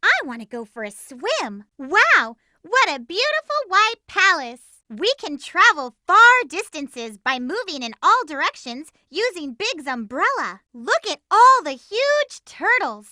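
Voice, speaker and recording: Game role: young lovestruck hedgehog girl. Spirited and emphatic, lively swooping pitch, close dry sound. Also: measured pace, few pauses, no room sound, full character voice. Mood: curious, chatty. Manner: perky, emphatic, exclamatory delivery. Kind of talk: video game character voice lines.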